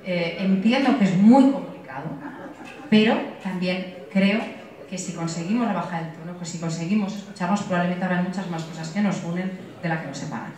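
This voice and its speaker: A middle-aged woman from Galicia speaking earnestly on a discussion panel, measured and full of restarts. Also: fairly low pitch, a little mellow. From Galicia, Spain. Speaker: panel speaker, opposition spokesperson. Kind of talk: panel debate remarks. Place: conference hall. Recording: echoey hall, distant mic.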